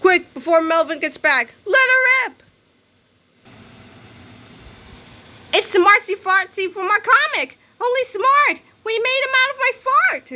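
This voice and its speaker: Lady doing an excitable cheeky little boy from a comic, super high and bright, urgent shouting out. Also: moderate pace, few pauses, exaggerated voice. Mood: excited. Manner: exclaiming, urgent. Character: excitable mischievous schoolboy in a comic story.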